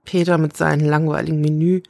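A woman's voice: sits low, level tone, fast, vocal fry, darkish tone, no echo, clean studio sound. A woman acting as an overwhelmed, emotional cook in a German audio drama, hesitant and intense. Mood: upset, despairing. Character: ironic, emotional, overwhelmed young female cook.

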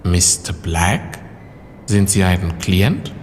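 A deep-voiced, courteous male doorman played by a man in an audio drama, heard in a long echoing hall with some noise. He speaks formally and firmly, with unhurried but lively phrasing. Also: exaggerated voice. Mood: polite, puzzled. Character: polite, formal male doorman, puzzled, firm gatekeeper. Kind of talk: German audio drama acting.

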